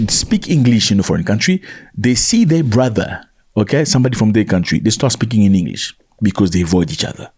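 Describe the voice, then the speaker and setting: A man's voice: mid-range voice, darkish tone, breathy edge. A man giving an emphatic commentary monologue, repeating his points and acting out the voices he quotes.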